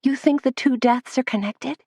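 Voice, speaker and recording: A woman's voice: normal speed, mellow, no echo. A woman reading the lines of a flustered young female assistant, her voice raised and words tumbling out. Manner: breathless, words tumbling out, higher pitched.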